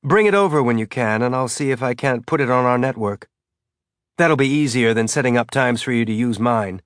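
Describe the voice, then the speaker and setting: A man's voice: mid-range voice, fairly quick, no echo, clean studio sound, lightly acted. A man voicing a weary ship captain, distracted and stretched thin. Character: tired, harried male ship captain.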